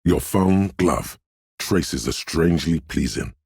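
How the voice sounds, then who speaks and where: Very deep, gravelly game narrator. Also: male voice, lively intonation, darkish tone, no echo, studio quality, heavily acted. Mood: solemn, deliberate. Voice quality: gravelly, husky bass. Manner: measured, deliberate, dramatic. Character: brooding, wry inner-voice narrator of a detective game. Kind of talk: second-person scripted game narration.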